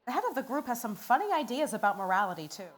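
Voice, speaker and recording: A woman's voice: a little high, big pitch swings, quick pace. An actress in a radio play playing a wisecracking woman newspaper reporter, slangy and sardonic, in an old-time style. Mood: pushy, confident.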